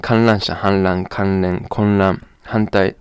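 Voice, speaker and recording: Low gravelly-voiced Japanese-accented man. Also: flat delivery, no room sound, studio quality. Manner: hesitant, repeats and restarts, sounds out example words slowly. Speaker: vlogger. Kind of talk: casual spoken explanation to camera.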